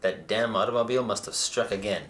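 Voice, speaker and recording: A man's voice: lowish voice, fast, a bit bright, natural voice. A man playing a self-important, oblivious detective in a comedy audio drama, speaking in a pompous, theatrical way. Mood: smug, dramatic. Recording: echoey room, faint noise.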